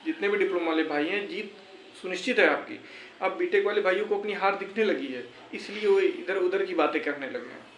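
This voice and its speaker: A man's voice: sits high, fairly bright tone. A young man gives an informal motivational address, earnest and reassuring, repeating phrases for emphasis. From India. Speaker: exam-prep vlogger. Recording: echoey room with faint background noise.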